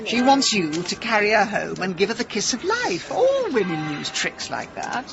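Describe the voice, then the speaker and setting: Blunt, brisk woman sleuth, mid-pitched, sarcastic, radio comedy-drama, muffled. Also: very animated, gravelly. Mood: brisk, exasperated. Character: sharp-tongued, brisk, nosy middle-aged woman amateur sleuth.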